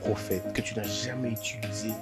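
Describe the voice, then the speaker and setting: A male interview host who asks rapid-fire, teasing questions. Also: fairly low pitch, steady tone, over music. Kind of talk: probing, joking interview questions.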